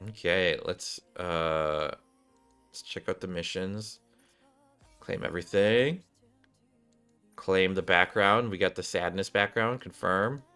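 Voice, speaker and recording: Low-voiced man streaming a game. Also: slow pace, pauses often, sharp tone, clean tone. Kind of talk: casual gameplay commentary. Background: game music.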